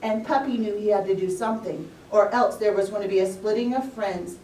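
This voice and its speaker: A woman telling a puppet story to young children, with simple, deliberate, expressive phrasing. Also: mid-range voice. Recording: roomy echo, distant microphone.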